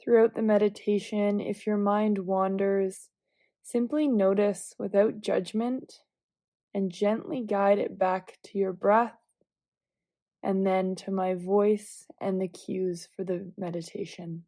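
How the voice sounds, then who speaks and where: Slow, mellow-voiced woman guiding meditation. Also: mid-range voice, no echo. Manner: calm, gentle, measured cueing with long pauses. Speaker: guided meditation leader. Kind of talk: guided visualization meditation, instructional.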